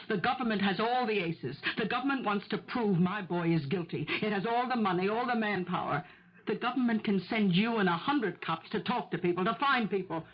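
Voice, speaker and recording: Determined middle-aged mother played by a woman, husky, insistent, pleading; vintage radio drama, dull sound. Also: mid-range voice, close to an everyday voice. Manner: insistent, pressing questions. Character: determined, pleading mother fighting to clear her son. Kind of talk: 1970s radio mystery acting.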